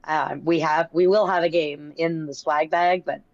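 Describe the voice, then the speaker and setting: A woman guest on a livestream, hoarse and scratchy today, chatting in a dry, joking way. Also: low voice, fairly even intonation, normal speed, dry recording, highs cut off. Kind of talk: casual livestream chat.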